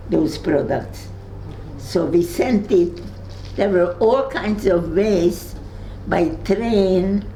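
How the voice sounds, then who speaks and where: Older hungarian lady, low mellow voice, slow and halting, roomy echo. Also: gravelly, a little hiss or hum. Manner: halting, searches for words, many fillers and restarts. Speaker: Holocaust survivor speaking to visitors. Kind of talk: recounting memories in conversation. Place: room in her home.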